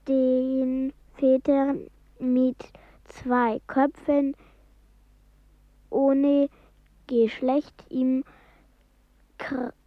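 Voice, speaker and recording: A woman reciting absurd, riddling verse in an experimental radio play, halting word by word. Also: sits high, dry recording. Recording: dry, thin phone-like sound.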